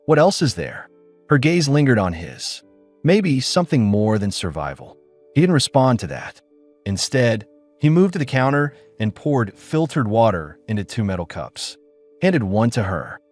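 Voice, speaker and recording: A man narrating a science fiction audiobook in an expressive, dramatic way. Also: mid-range voice, moderate pace. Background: faint music.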